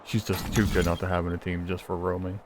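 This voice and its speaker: Low-voiced man muttering through gameplay. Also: level tone, quick pace, background noise. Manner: joking, muttering to himself. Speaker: gamer narrating own playthrough. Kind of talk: casual gameplay commentary. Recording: game music behind commentary.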